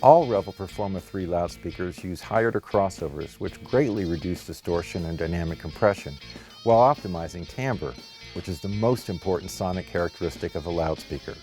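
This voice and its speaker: Deep-voiced man giving a promotional presentation. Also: fairly quick, darkish tone, soft background music. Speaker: company spokesman. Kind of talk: polished promotional product explanation.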